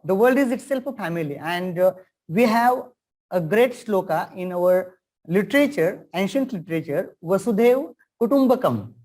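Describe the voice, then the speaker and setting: A man's voice: high voice, expressive, moderate pace, a little mellow. Man, Indian accent, teacher hosting a webinar, earnest, formal, repeats phrases, video call.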